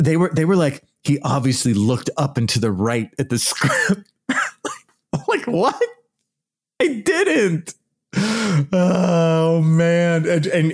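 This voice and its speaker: Loud, animated man ranting casually. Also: on the high side. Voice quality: naturally loud, shouty voice. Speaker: archaeology YouTuber. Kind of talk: casual vlog commentary.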